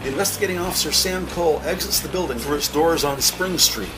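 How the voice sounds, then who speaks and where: Male narrator for a crime audio drama: slightly high register, scripted storytelling, prominent music underneath. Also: fast, low-quality recording.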